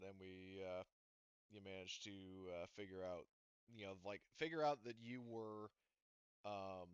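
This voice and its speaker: Male game master, halting casual narration, smooth clear tone, dry and close on the mic. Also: fairly low pitch, a bit bright, no room sound. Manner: rambling, filler-heavy, halting. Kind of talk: running a tabletop roleplaying game. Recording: dull, lo-fi.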